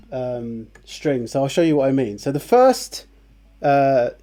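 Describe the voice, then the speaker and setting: A man hosting a casual guitar tutorial, chatty and relaxed, with fillers and restarts, who says his voice is on the low side. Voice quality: lower voice, by his own account. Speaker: guitar teacher, channel host.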